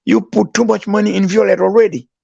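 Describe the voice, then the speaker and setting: A man with a Haitian accent and a gravelly, fairly high voice tells stories as a guest. He is animated and acts out the dialogue he quotes. The recording is dry and a little dull. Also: fast, dry recording. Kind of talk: casual storytelling.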